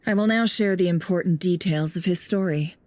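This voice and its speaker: Actress as low, mellow, composed woman investigator. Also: slight background noise, lightly acted. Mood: composed, authoritative. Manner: composed, deliberate, formal. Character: cool, cerebral woman investigator, a desert tribe's survivor. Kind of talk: scripted game voice acting, measured storytelling.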